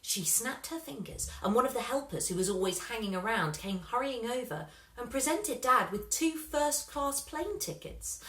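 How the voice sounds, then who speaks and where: Woman, children's story narration, expressive, bright voice, roomy echoing recording. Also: a little high, normal speed, few pauses.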